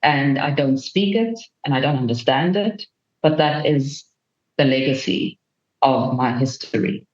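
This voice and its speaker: A woman speaks as an interview guest in an earnest, measured way. Her voice carries a noticeable room echo. The recording sounds a little muffled and lo-fi. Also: a bass voice, lively intonation. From Namibia. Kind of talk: reflective interview answer. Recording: roomy, dull remote recording.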